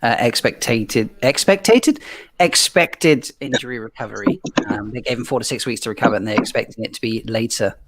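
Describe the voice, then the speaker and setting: A man's voice: medium pitch, a little fast, over a noisy crowd. A man chatting casually as a panelist on a sports show, passing along updates. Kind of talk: casual chat with news updates.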